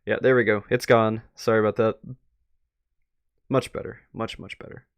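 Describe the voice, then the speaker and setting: A man live-streaming a reaction to a web series, chatty and excitable, thinking aloud as he watches. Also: lowish voice, moderate pace, gravelly, clean tone.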